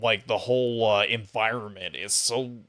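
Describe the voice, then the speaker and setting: A man's voice: lowish voice, steady tone, moderate pace, a bit bright. A man hosting a casual interview, talking informally and enthusiastically to his guest. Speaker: podcast host interviewing a guest.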